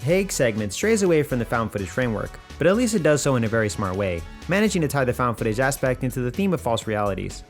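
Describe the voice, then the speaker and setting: Lively male video essayist over music. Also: mid-range voice, fast talker, hardly any pauses. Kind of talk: scripted video essay narration, film criticism. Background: background music.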